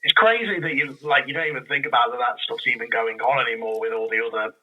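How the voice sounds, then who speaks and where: A man's voice: mid-range voice, quick pace, muffled recording. A man speaking as a podcast guest and audio drama creator, chatting casually and rambling through his explanations. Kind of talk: casual interview chat.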